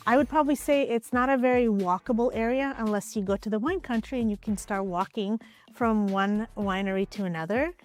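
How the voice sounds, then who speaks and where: A woman from Ukraine, a real estate agent, answering interview questions in a relaxed, conversational way, often hedging with "I would probably say.". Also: medium pitch. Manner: relaxed, conversational, with frequent hedges.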